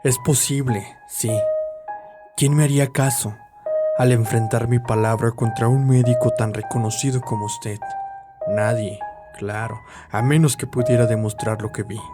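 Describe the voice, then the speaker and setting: An actor playing a sly, gloating hospital nurse who blackmails with a smug, mocking manner in a dramatized audiobook. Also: male voice, mid-range voice, steady tone, moderate pace, close to an everyday voice. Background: background music.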